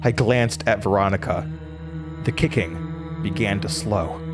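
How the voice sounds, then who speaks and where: An actor playing a haunted young male college student with an American accent, narrating horror in a deliberate, intense, dramatic way. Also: lowish voice, over music, close to an everyday voice. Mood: grim, urgent. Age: young adult.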